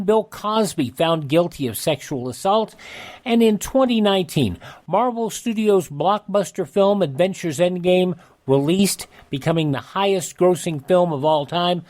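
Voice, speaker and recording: A man hosting a radio show, reading out an almanac with casual asides in a halting, conversational way. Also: high-pitched, lively intonation, darkish tone. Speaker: radio host.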